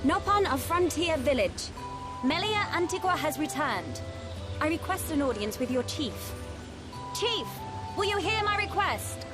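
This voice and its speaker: An actress playing a noble, solemn young woman in a video game, speaking in a formal, measured, stately way. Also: high-pitched, sing-song, over music. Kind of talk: video game cutscene acting.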